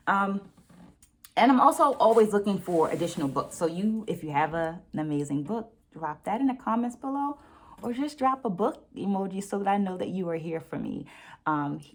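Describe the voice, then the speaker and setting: A woman hosting a book discussion, speaking in deliberate, emphatic phrases with conversational check-ins and quotes read aloud. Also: medium pitch. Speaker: book review host.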